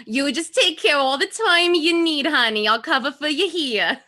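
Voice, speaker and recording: A brassy, obliging shop gal with a high cartoon voice, put on by a woman. She sounds like a comic sidekick in an amateur screenplay reading. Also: moderate pace, pushed and bright. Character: brassy, honey-calling female shop coworker in cartoon voice.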